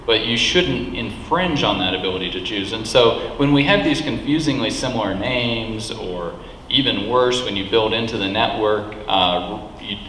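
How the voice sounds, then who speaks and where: A man on a meetup panel, talking with emphasis and stopping to restart his thoughts. His voice rings through a large echoey hall with a long reverb tail. Faint room noise sits underneath. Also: medium pitch. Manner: animated, emphatic, many restarts. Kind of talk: live panel talk.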